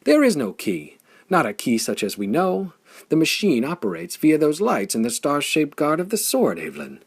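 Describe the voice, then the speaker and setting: A man voicing a scarred young warrior hero in an audiobook, rasping and bitter when low, shouting when roused. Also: huge pitch swings, moderate pace, no room sound, exaggerated voice. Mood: elated. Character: scarred young male warrior hero, brooding then fiery. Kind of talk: audiobook character voice.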